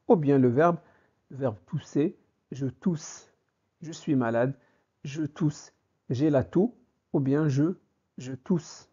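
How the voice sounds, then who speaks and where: A man teaching a vocabulary lesson, speaking deliberately and repeating each phrase for learners. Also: mid-range voice, mellow, breathy edge. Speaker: French teacher. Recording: dull lo-fi recording.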